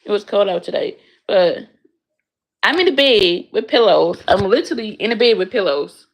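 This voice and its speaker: Young woman streamer, casual and blunt, with a gravelly edge. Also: medium pitch, lively intonation, moderate pace. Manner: blunt, frank, swears freely. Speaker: livestreamer answering chat. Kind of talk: casual livestream chat. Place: her bedroom, in bed.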